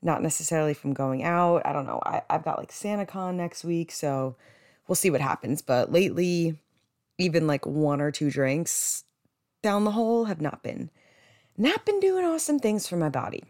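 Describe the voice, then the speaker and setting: A young American woman with a low voice chats like a podcast host, in a candid, rambling way. She is from New Jersey and leans often on "like". The sound is dry and free of room echo. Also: lively intonation, normal speed, a little mellow. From New Jersey, United States. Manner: chatty, rambling, candid, frequent "like". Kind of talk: casual solo podcast chat.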